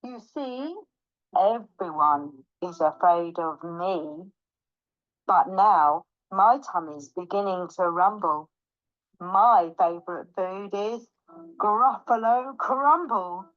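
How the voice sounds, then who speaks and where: A woman voicing a small, plucky brown mouse for a children's storybook, boastful and cunning, with emphatic, drawn-out words. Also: lowish voice, dry recording, lo-fi sound. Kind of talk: children's storybook read aloud.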